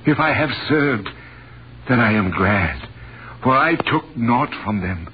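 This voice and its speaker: An actor playing a humble, grateful physician of biblical times, earnest and formal, in 1930s radio style. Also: male voice, medium pitch, expressive, takes it slowly. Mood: humble, awed. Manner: earnest, formal archaic diction. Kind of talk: 1930s radio acting, archaic biblical speech. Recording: muffled noisy 1930s radio recording.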